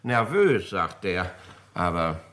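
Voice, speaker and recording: A man's voice: low voice, very animated, takes it slowly, fairly bright tone, full character voice. An actor playing an affable, self-assured businessman at his club in a crime radio play. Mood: genial, confiding. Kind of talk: German radio crime drama acting.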